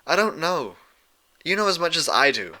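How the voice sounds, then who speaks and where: A man plays an exasperated young mare in an audio story. The voice is sharp, tense and lifted, with a worried, defensive manner. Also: on the high side, very animated, normal speed, pitched above the performer's own voice, exaggerated voice. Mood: irritated. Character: worried, exasperated, studious young female pony.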